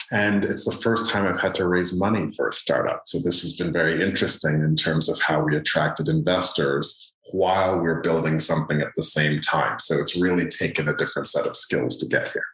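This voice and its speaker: A man's voice: rumbling low, a bit bright. A middle-aged man from Canada talking casually about his work as an interview guest in an echoey room.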